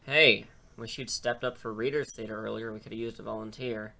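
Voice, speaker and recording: A man playing a dry, self-assured high school English teacher in an audio drama, talking in a casual, rambling way. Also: steady tone, normal speed, vocal fry, background noise. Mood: dry, confident.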